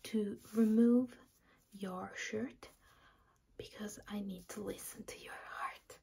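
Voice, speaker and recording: Slow, whispering woman in ASMR doctor roleplay. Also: mid-range voice, steady tone, slight background noise. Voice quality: breathy whisper, mostly unvoiced. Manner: gentle, reassuring, unhurried explaining. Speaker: ASMR performer playing a doctor. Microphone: close ASMR microphone.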